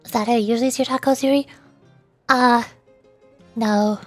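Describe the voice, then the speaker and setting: A young woman with a high, bright voice chats in a playful, giggly way on her live stream. She talks into a desk microphone at home, and soft music plays faintly behind her. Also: fairly even intonation, normal speed, no room sound. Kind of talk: casual live-stream chat.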